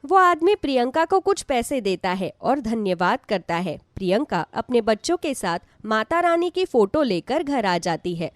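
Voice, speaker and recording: High-pitched, expressive woman storyteller. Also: normal speed, hardly any pauses, no echo, studio quality. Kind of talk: scripted devotional story narration.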